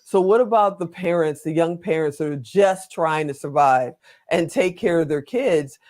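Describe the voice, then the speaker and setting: Man, very high register, chatty talk-show interviewer with an informal, joking delivery. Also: moderate pace, darkish tone.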